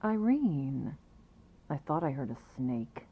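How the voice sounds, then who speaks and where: A woman's voice: rumbling low, very animated, takes it slowly, phone-quality sound, slight background noise, full character voice. A woman playing a stern, suspicious vampire with a curt, menacing delivery in an ASMR roleplay. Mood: cold, warning.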